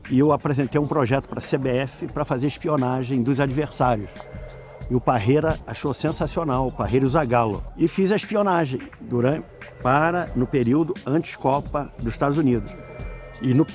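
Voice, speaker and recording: A man's voice: medium pitch, brisk, a little mellow, faint music behind. A man recounting his long involvement with the team in a matter-of-fact documentary interview. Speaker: interviewee, longtime observer of the team.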